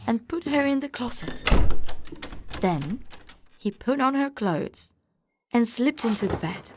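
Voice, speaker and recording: A woman's voice: on the high side, a little mellow, a little hiss or hum. A woman narrating a scripted fairy tale for children as a storyteller. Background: faint music.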